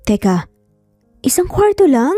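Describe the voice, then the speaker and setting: A young mother in a romance story, acted by a female narrator. She is easily flustered and protests indignantly. Her voice is fairly high and softly toned, recorded dry. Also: very animated, a little slow, no room sound, soft background music. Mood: annoyed. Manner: fretful, indignant.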